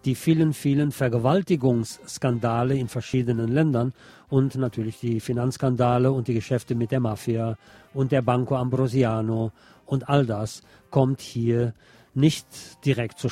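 A man's voice: medium pitch, normal speed, mellow. A man hosting a radio show, reviewing films in a partly scripted, partly conversational way, with opinionated asides to his listeners. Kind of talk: film review, part scripted narration, part conversational.

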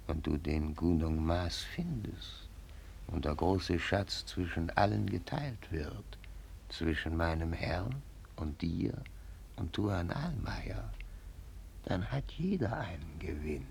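Sly old-school henchman servant, super deep creaky voice, insinuating, slightly hissy radio drama. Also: a little mellow, exaggerated voice. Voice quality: gravelly. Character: sly, deferential male servant and counsellor of a chieftain. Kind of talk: German radio play acting.

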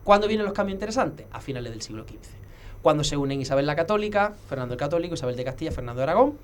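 Fast-talking man explaining history. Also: a little high, animated, slight background noise. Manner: lecturing, many filler pauses and restarts. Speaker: heraldry expert guest. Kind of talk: casual explanatory talk.

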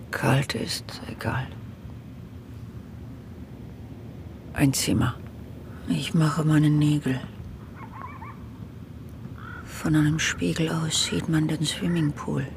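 An actress playing a dazed, detached woman who murmurs halting fragments in an experimental radio drama. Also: low voice, background noise. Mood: hushed, detached. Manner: halting, hushed, fragmentary, repetitive. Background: loud music.

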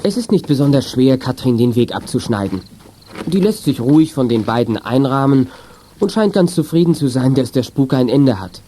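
A man narrating a youth detective radio play in a measured, matter-of-fact, scripted manner. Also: medium pitch, animated, dark tone, a little hiss or hum, close to an everyday voice.

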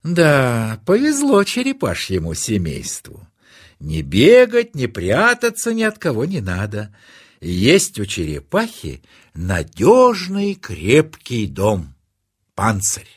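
A man narrating a children's nature tale as an expressive storyteller, confiding and theatrical. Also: mid-range voice, slow, husky.

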